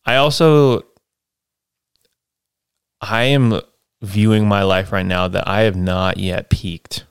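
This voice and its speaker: Relaxed young man, rambling reflective talk. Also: on the low side, slow pace, vocal fry, long pauses, smooth, dry recording, studio quality. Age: 20s. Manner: rambling, reflective, lots of fillers and tag questions. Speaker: podcast host. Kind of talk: casual solo podcast monologue.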